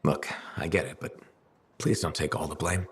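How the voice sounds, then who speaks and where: A calm mercenary in a game cutscene, dry and gently reassuring. His voice is very deep and steady. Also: normal speed, breathy edge, exaggerated voice. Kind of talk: video game cutscene acting.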